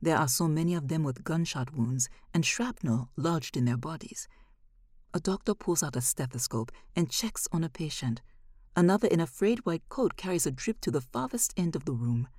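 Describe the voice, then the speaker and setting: Casting: female story narrator, low-pitched and husky, lively intonation, measured delivery, dry studio sound. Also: dry recording. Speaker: podcast host and audiobook narrator. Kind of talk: expressive scripted story narration.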